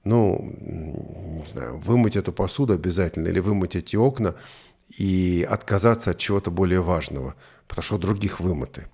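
A man talking conversationally in a discussion, earnestly and deliberately, with long pauses as he reflects and tells stories. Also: deep voice, mellow, breathy edge.

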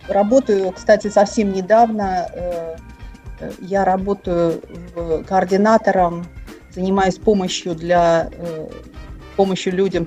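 A woman guest in an interview, speaking quietly and hesitantly with many fillers. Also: fairly low pitch. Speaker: guest, refugee aid coordinator. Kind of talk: interview answers.

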